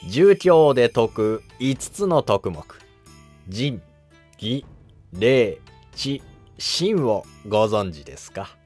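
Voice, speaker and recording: An actor playing a humble, cautious monk who advises an emperor in formal, deferential court speech in a historical drama. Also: male voice, medium pitch, very animated, very slow, a little mellow, soft background music, exaggerated voice. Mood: calm, advising. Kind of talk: Japanese historical drama dialogue.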